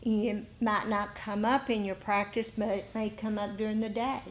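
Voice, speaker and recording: A woman giving an informal talk as a meditation teacher, speaking reflectively and haltingly, often pausing and repeating phrases. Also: medium pitch, little pitch movement, slight background noise. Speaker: meditation teacher leading a group. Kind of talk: informal dharma talk with group discussion.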